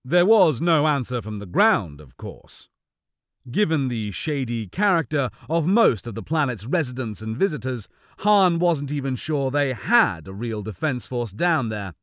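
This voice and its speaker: A man's voice: mid-range voice, big pitch swings, unhurried, fairly bright tone, no echo, studio quality. A man giving a dramatic scripted narration of a science fiction audiobook.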